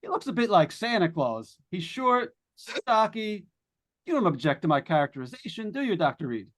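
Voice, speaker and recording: A man's voice: high voice, big pitch swings, moderate pace. An actor playing a breezy, joking male radio host on a ghost hunt, chatty and folksy in old-time radio drama style. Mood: showy, upbeat. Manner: chatty, folksy showman delivery. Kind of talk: 1940s live radio drama acting.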